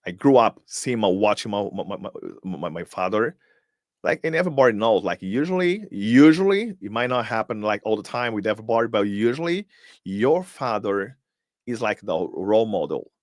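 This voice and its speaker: A man whose first language is Portuguese hosts a live motivational stream in a low voice that rises and falls widely. He pushes rhetorical questions at his listeners and often restarts on repeated words. The sound is close and dry, with no room around it. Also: sing-song, normal speed, no room sound. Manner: emphatic, fires rhetorical questions, repeats words while searching. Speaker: motivational speaker and host.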